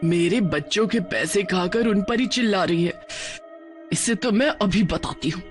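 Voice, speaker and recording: A woman's voice: medium pitch, a little slow, close to an everyday voice. Woman as a stern old witch grandmother. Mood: furious, protective. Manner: stern, threatening. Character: angry, protective old grandmother witch ghost. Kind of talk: Hindi cartoon story dubbing. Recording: music behind the voice.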